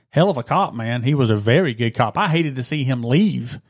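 A man's voice: medium pitch, expressive, normal speed, dry recording, studio quality. A retired police officer, a podcast guest who talks earnestly and keeps saying "man.". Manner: earnest, says "man" a lot. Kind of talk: casual chat.

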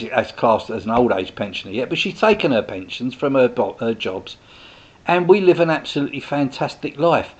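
Man in his sixties, chatty rambling host, mid-pitched voice, slightly dull lo-fi sound. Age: 60s. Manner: chatty and rambling, with frequent restarts and asides. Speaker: host, direct sales business owner. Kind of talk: casual monologue to viewers.

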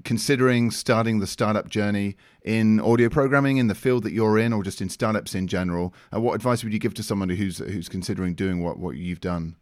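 A man hosting an interview in a conversational style, forming his questions and comments deliberately with repeated words. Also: lowish voice, dry recording, studio quality. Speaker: interviewer, host.

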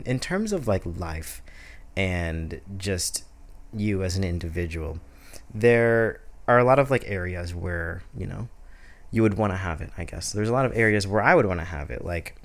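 A young man in his twenties talks through his thoughts on a solo podcast. His voice sits a little low, and he rambles, circles back and fills pauses with "like" and "um." The delivery is loose, casual chat. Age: 20s. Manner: rambling, heavy use of "like", restarts. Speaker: podcast host.

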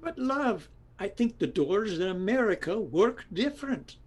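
Husky, stammering husband. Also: male voice, high-pitched, very animated, a little slow, exaggerated voice. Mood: appeasing. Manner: stammering, appeasing. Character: placating husband, hapless small-time investor. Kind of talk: comedy audio drama acting.

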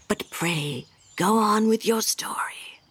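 A woman's voice: medium pitch, slow, fairly bright tone, breathy edge. A woman voicing a self-important, gossipy water rat with a haughty, know-it-all manner.

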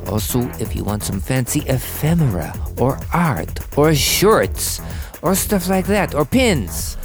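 A man hosting a podcast with a scratchy voice, rambling and joking at his own expense. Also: a little high. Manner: chatty, joking, self-mocking. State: hoarse, scratchy voice. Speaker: podcast host. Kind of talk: casual rambling monologue.

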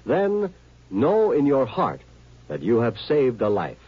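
Husky, earnest male radio announcer. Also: mid-range voice, wildly swooping pitch, slow pace. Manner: formal, earnest. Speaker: public service announcer. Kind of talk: 1950s radio announcement, earnest scripted appeal. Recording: dull vintage radio recording.

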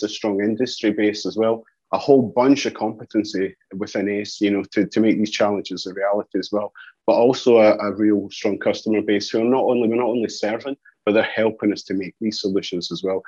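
A man's voice: fairly low pitch, fairly even intonation, brisk, darkish tone, slightly rough. A man with a Scottish accent giving an informal business interview, often padding sentences with "you know" and "as well". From Scotland. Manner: repeats "you know" and "as well" as verbal tics. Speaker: company executive being interviewed. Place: office, on a video call. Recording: muffled, roomy video-call audio.

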